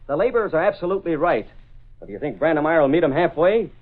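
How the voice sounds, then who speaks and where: An actor playing a tough but soft-hearted, slangy newspaper reporter in a 1930s radio drama. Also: male voice, a little high, big pitch swings, quick pace. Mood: earnest, explaining. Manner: slangy, informal. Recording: muffled band-limited old radio recording.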